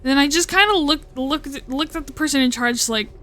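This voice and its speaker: A woman's voice: high-pitched, fairly quick, sharp tone. A young woman streaming live, chatting casually and rambling, often cutting herself off and swearing. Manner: rambling, swearing, with self-interruptions and laughs.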